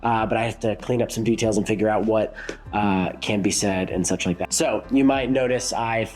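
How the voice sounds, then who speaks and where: Congested man, low voice, rambling. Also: fairly even intonation, moderate pace, a little mellow, faint music behind. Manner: rambling, many fillers and restarts. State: congested, sick. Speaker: worship leader and songwriter. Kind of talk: casual reflective vlog.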